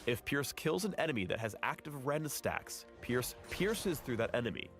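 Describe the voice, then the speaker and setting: A man narrates a scripted game guide with animated, swinging intonation. Music plays clearly behind his mid-pitched voice. His delivery is polished and instructional, with a light quip here and there. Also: moderate pace. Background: background music.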